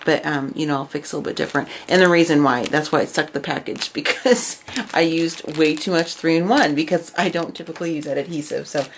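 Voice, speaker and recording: A woman casually showing her craft projects and chatting about them, her voice raspy from overuse and her talk full of fillers. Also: low-pitched, steady tone, quick pace, a little hiss or hum. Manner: chatty, filler-heavy, enthusiastic. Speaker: craft vlogger. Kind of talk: casual show-and-tell.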